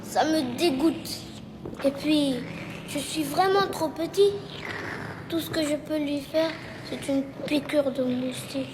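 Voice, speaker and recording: A performer voicing a tiny, brave, inquisitive young boy in an animated film, plain-spoken and determined. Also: high voice, slow pace, background noise. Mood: pensive, determined.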